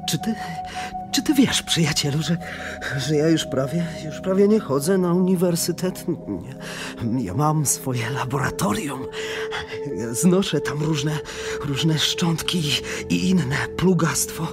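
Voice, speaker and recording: A man's voice: on the high side, slow pace. An actor playing an obsessed young male science student in a radio drama. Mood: feverish, obsessed. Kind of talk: radio drama acting, reading letters. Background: music.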